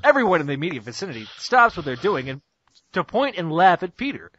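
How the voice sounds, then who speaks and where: Casting: male amateur narrator, high lively voice, mock-dramatic comic reading, muffled internet call sound. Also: quick pace. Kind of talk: comic dramatic script reading among friends. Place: Skype group call.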